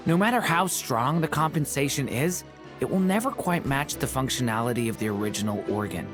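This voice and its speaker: An actor playing a learned scholar who explains things calmly, like a lecture. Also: male voice, medium pitch, a little fast, music in the background, close to an everyday voice. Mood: lecturing.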